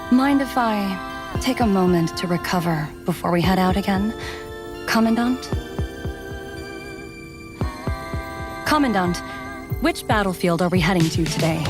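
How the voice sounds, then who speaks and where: Woman acting devoted cyborg centaur warrior, loud music behind. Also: medium pitch, close to an everyday voice. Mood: gentle, caring. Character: devoted, steady female cyborg centaur warrior, playfully eager. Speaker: voice actor in a video game dub. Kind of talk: scripted game character voicelines. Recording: studio voice under loud music.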